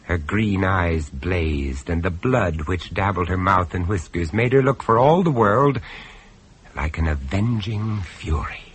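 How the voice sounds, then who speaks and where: An actor playing a grave, observant gentleman who narrates a horror tale in a radio play, in a dramatic storytelling style. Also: sits low, expressive, unhurried, breathy edge, full character voice. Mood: grave, tense. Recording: noisy old radio drama recording.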